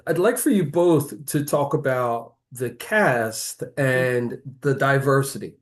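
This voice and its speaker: A man hosting a casual interview, wording his questions haltingly and rephrasing them as he goes. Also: mid-range voice. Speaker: interviewer, host. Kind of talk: casual, loosely phrased interviewing.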